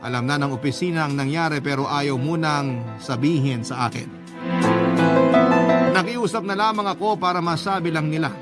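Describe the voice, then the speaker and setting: Worried guy narrating his own sad story, acted by a man, radio drama, highish emotional voice, music behind. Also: moderate pace, lightly acted. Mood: anxious, prayerful. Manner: earnest, emotional. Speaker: letter-sender narrator. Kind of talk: dramatic first-person narration. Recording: music bed under narration.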